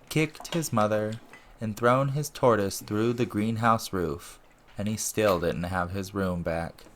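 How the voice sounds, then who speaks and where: A man's voice: on the low side, fairly bright tone, slight background noise. A man reading a story aloud as narrator, in scripted audiobook style. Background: music and ambience.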